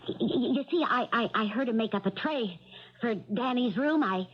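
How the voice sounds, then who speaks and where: An actress playing a brassy, indignant, stammering dame in a 1970s radio mystery. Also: female voice, fairly high pitch, lively intonation, moderate pace. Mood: insistent. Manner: feisty, stammering. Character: brassy, indignant dame insisting on her own guilt. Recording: dull vintage radio broadcast.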